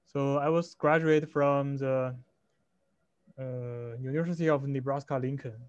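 A man's voice: medium pitch, fairly even intonation, measured pace, smooth, no room sound. A young man from China introducing himself as a student over a video call, correcting himself as he goes. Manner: loose, self-correcting, many fillers. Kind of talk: self-introduction. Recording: dull dry video-call audio.

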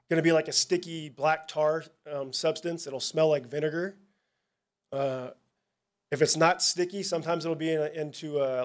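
A man with a slightly high voice speaks plainly to a town hall audience as a narcotics officer. He talks in a casual, halting way, stopping and restarting his sentences often. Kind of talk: presentation at a public town hall.

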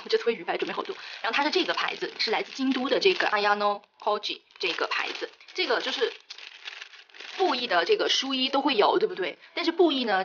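A young woman talks quickly and eagerly through a stationery unboxing, full of little fillers and delighted asides. Her voice sits at a middling pitch. The recording sounds a bit dull and lo-fi. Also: a bit bright, slight background noise. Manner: chatty, enthusiastic, many fillers. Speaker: stationery vlogger. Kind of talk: casual unboxing narration.

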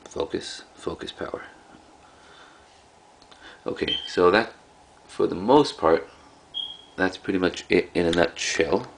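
Deep, gravelly man with halting delivery. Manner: halting, offhand delivery in short phrases. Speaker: video host, collector. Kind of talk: casual product showcase. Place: indoors in a room at home. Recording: clearly noisy home recording.

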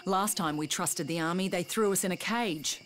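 An actress voicing a tough, cynical survivor in a zombie video game, blunt and defiant. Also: female voice, fairly low pitch, normal speed, fairly bright tone, soft background music, close to an everyday voice. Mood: distrustful. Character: tough, cynical, foul-mouthed female outbreak survivor.

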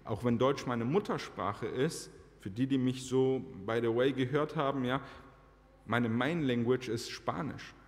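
Man, 30s, preacher at pulpit mic, earnest testimony, mid pitch, reverberant church hall. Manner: earnest, slows down to stress key phrases. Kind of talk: personal testimony sermon. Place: church sanctuary, large indoor hall.

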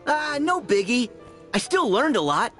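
Eager teen boy hero, high lively voice, game cutscene w/ music. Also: measured pace, heavily acted. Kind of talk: video game cutscene acting.

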